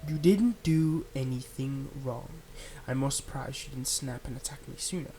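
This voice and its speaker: Casting: male actor as possessive supervillain for ASMR drama, mid-pitched, coaxing and deliberate, some background noise. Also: creaky, dark tone, natural voice, low-quality recording. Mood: gentle, reassuring. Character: manipulative, soothing male supervillain, tenderly possessive of his captive. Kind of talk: ASMR roleplay acting.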